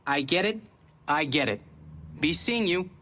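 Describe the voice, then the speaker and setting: An actor playing a cheeky, fast-talking muckraking reporter in a video game, wry and flippant. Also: male voice, a little high, expressive, pushed and bright, a little hiss or hum. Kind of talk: video game cutscene acting.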